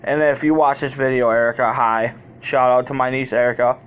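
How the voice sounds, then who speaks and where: A man's voice: medium pitch, level tone, a little fast, clear, resonant tone, low-quality recording. A man vlogging in a candid, colloquial way, rambling through personal stories as he talks straight to his viewers. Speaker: YouTube vlogger. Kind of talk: casual personal storytelling to viewers.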